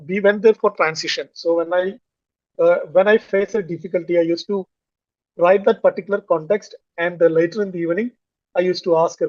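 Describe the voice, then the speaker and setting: A man from Kerala with a Malayali Indian English accent, talking haltingly with many fillers and restarts about learning English. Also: sits high, darkish tone, dry recording, muffled recording. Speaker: English learner, finance manager. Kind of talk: casual conversation on a video call.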